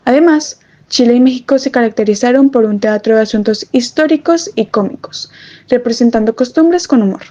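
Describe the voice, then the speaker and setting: A woman's voice: a little high, a little fast, mellow. A woman presenting on a student podcast, reading scripted informative narration in a formal, recitational way. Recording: dull lo-fi microphone sound.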